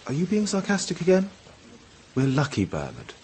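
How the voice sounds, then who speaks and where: A man's voice: a little high, wildly swooping pitch, normal speed, a little mellow, a little hiss or hum. A British actor playing a smug, crude, complacent young man in a radio drama, with a blasé, flippant manner. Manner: blasé, flippant, casually boastful.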